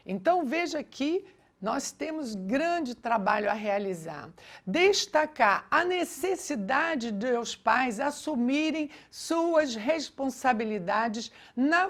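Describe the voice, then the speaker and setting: High-pitched Brazilian woman teaching through stories. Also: creaky. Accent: Brazilian Portuguese. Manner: emphatic, acts out reported dialogue. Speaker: teacher of a religious education lesson. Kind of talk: teaching with a personal anecdote.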